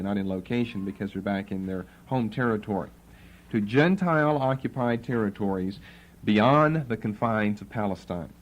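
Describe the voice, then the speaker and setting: A man giving a Bible lecture to a class, spelling out words letter by letter and repeating key terms slowly. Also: medium pitch. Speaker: Bible teacher. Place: classroom with students writing.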